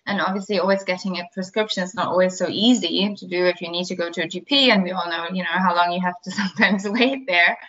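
A woman's voice: lowish voice, fairly even intonation, quick pace, hardly any pauses, a bit bright, dull audio. A woman in her thirties from Germany with a German accent, explaining things in an interview with many fillers and repeated words. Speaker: guest, biotech founder. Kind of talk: interview answers.

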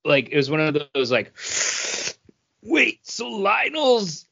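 A man co-hosting a podcast, telling casual nostalgic stories in an animated, slangy way and acting out quotes. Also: sits high, slow pace, creaky, husky. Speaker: podcast co-host. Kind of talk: casual chat, nostalgic anecdotes.

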